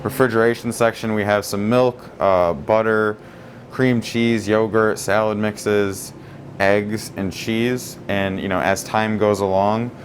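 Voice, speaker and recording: Man, store manager, low voice, easygoing walk-through talk, steady background hum. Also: unhurried. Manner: relaxed, many fillers and restarts. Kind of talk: casual store tour talk. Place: grocery store aisle.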